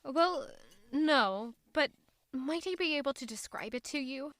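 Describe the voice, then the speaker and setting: A woman's voice: high voice, animated, a little slow, a bit bright, no echo. An actress playing an anxious young traveling woman with a secret in an audio drama, tense, guarded and hesitant. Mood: hesitant, careful.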